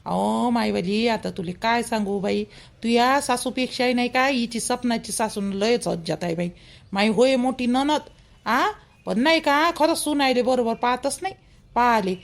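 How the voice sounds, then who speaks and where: A woman's voice: on the high side, normal speed, gravelly. A woman voicing a chatty, meddling, protective elder in a village cartoon, with a colloquial Vidarbha Marathi accent. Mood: indignant gossip. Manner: colloquial, gossipy, advising.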